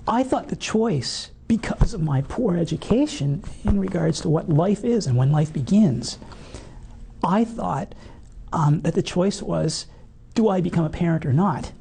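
A man around fifty with a hoarse, worn voice tells his personal story in conversation, earnest and halting with many fillers. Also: high-pitched, expressive, mellow, slight background noise. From Canada. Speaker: guest sharing a personal testimony.

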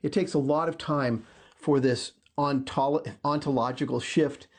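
A man's voice: fairly high pitch, fairly even intonation, moderate pace. A man co-hosting a conversation podcast, reading a psalm aloud and then explaining it in an earnest, thoughtful way. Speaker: podcast co-host. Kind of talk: casual discussion, reading scripture aloud.

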